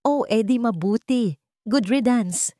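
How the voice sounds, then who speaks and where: A woman's voice: on the high side, slow pace, mellow, no echo, clean studio sound, voice pushed up. A Filipino woman voicing a spiteful, haughty elderly mother-in-law in an audiobook drama, gloating and dismissive. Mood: smug spite.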